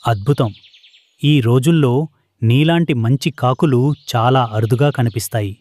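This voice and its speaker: A man's voice: mid-range voice, normal speed, a little mellow, lightly acted. A man playing a poor, trusting father pigeon, earnest and anxious, in a children's cartoon. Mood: grateful. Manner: earnest, innocent, pleading.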